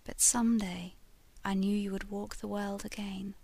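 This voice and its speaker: A woman voicing a ghostly young woman, a witch burned long ago, who speaks in a hushed, often whispered voice. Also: medium pitch, flat delivery, measured pace, creaky, breathy edge. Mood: sorrowful. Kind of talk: audiobook reading.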